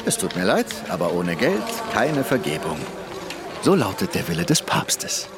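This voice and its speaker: A man's voice: low voice, wildly swooping pitch, moderate pace, over music. An actor playing a coldly mercenary medieval indulgence seller in a radio drama, declaiming like a salesman. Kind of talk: historical radio drama acting.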